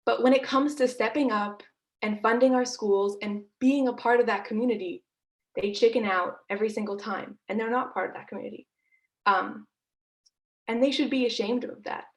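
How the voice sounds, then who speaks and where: A woman's voice: fairly high pitch, steady tone, normal speed. A woman presenting as an activist, speaking emphatically and with indignation as she makes her case. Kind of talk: advocacy presentation.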